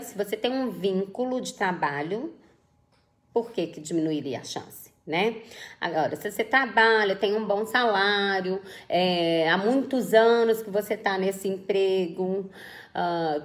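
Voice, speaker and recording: A woman chats through viewer questions in a live session, her pitch sitting in the middle for a woman. She answers as a visa consultant, loose and conversational, tossing in tag questions as she goes. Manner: chatty, reads questions aloud and answers with many tag questions. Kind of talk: casual live Q&A.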